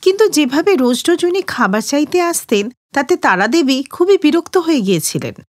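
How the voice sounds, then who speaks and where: A woman's voice: sits high, sing-song, brisk, no echo, clean studio sound. A woman narrating a scripted moral story for children as the storyteller.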